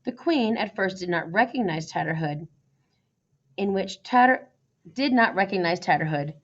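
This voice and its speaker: A woman's voice: lowish voice, lively intonation, normal speed. Woman reading a storybook, slightly muffled. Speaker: storybook reader. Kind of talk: read-aloud children's story narration.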